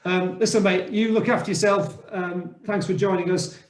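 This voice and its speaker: Laid-back host on an echoey call. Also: male voice, sits high, fairly even intonation, moderate pace. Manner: friendly, laid-back, says "listen" and "mate". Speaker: podcast host. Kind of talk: casual interview hosting. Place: home living room, indoors. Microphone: video call. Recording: echoey room on a video call.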